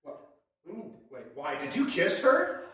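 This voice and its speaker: A man acting a sheepish, hapless office worker in a comedy sketch, whining and complaining with sudden loud outbursts. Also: high voice, wildly swooping pitch, moderate pace, full character voice. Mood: anxious, reluctant. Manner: exasperated, whiny complaining, swinging into loud outbursts. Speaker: sketch performer. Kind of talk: live comedy sketch acting in old-time radio variety style. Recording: very echoey room, far from the mic.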